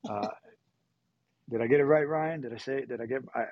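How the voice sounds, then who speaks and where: A man from Puerto Rico hosting a casual moderated chat, relaxed and rambling, often correcting himself. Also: medium pitch, fairly quick, dull audio, slight background noise. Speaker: host.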